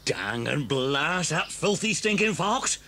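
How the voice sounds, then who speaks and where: An actor playing a grumbling, cross farmer villain in an audio story, full of bluster. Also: male voice, on the high side, very animated, slow pace, sharp tone, full character voice. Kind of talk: 1980s audio story dramatisation.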